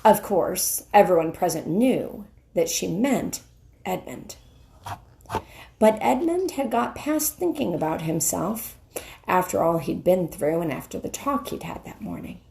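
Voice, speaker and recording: A middle-aged woman reading a children's story aloud as a teacher, in a deliberate, dramatic narrating style. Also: lowish voice, big pitch swings, creaky, mellow. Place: small wood-ceilinged room indoors. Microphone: handheld phone at arm's length.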